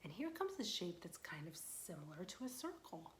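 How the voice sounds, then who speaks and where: A young woman librarian leading a storytime for young children, asking questions in a sing-song voice and dropping to soft whispers for suspense. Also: lowish voice, very animated, moderate pace, slight background noise.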